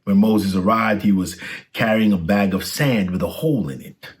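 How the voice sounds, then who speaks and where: A man with a low-pitched voice narrates a storybook for children. He reads from a script, as a storyteller reading aloud. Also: moderate pace.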